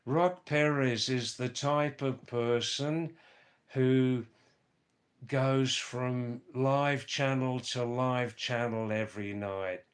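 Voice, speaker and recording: A hoarse man live-streaming from a hill village, reading out viewers' comments and greeting them by name in a halting way with many fillers. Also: mid-range voice.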